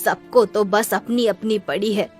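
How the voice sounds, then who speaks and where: A woman's voice: mid-range voice, steady tone, unhurried, soft background music, natural voice. Woman doing a poor, pleading old village aunty. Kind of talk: children's cartoon story voice acting.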